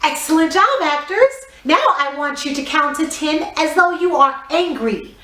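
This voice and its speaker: Woman, high-pitched, children's theatre instructor, step-by-step warm-up directions, encouraging, distant echoey recording. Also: lively intonation, measured pace. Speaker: children's drama teacher. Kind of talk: guided theatre warm-up lesson for young children. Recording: roomy echo, distant microphone.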